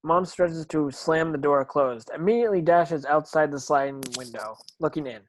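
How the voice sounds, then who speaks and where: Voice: mid-range voice, normal speed, a little hiss or hum. A narrator reading screenplay stage directions in an online table read, now and then stumbling and repeating words.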